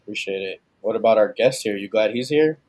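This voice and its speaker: A man co-hosting a casual podcast over a video call, relaxed and slangy, joking with his partners while asking questions. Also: on the low side, fairly quick. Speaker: podcast co-host and father.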